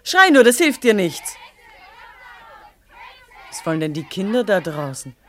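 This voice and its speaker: A woman's voice: medium pitch, very animated, unhurried, a faint crowd, slight background noise. Jealous bitter young woman in a radio play, wound up, swings up and down a lot. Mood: spiteful. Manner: agitated, theatrical, swinging from muttering to sharp. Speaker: radio drama actress. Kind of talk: German radio play acting.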